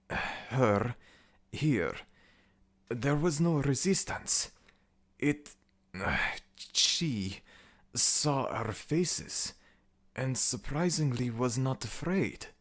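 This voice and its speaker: Guy doing jittery underling of a death god, higher voice, servile and halting, asmr roleplay. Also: expressive. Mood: nervous, deferential. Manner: halting, servile, reporting anxiously. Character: nervous, deferential underworld servant to the jackal god. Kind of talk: ASMR audio roleplay acting.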